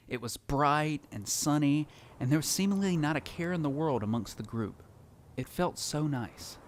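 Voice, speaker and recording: A man's voice: medium pitch, slight background noise, natural voice. A man playing a lonely, reflective survivor who narrates his post-apocalyptic journey in a scripted audio drama. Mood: wistful.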